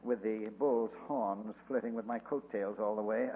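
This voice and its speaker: An actor playing a genteel, wisecracking amateur sleuth in a 1940s radio drama, with a dry, fussy wit. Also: male voice, fairly low pitch, steady tone, moderate pace, lightly acted. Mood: wry. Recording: phone-like old radio broadcast, long hall echo, faint hiss.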